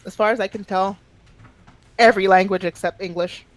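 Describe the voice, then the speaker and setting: A woman from chats casually on a podcast in English shows through. She is animated, laughs easily and acts out little quoted exchanges. Her voice sits in a middle register, with a dry, close sound. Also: mid-range voice, a little fast, no room sound, rough recording. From Quebec. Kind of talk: casual chat.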